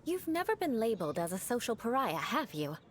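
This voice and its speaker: A woman's voice: a little high, sing-song, brisk, noisy background. An actress playing a proud, aloof aristocratic female knight who speaks formally with dry, haughty teasing, in video game dialogue.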